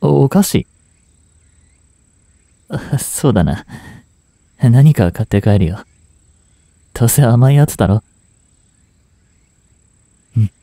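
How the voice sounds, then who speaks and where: A man in his twenties playing a remorseful, grieving young hitman in a voice drama, breathy and anguished. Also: mid-range voice, dark tone, dry recording. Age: 20s. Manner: anguished, halting, intimate. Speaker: voice actor. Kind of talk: Japanese voice drama monologue, emotional acting.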